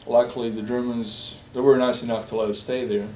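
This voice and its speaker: A young man from Bosnia talks in a personal interview, pausing often on fillers and restarting his sentences. His voice is mellow and low-key. He sits at a distance from the mic in an echoey room with a faint hiss behind him. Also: flat delivery. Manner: halting, many fillers and restarts.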